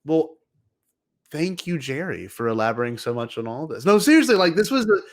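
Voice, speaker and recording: A man's voice: a little high, big pitch swings, fairly quick. A man co-hosting a podcast, chatting casually as a fan in an agreeable, friendly way. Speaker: podcast co-host.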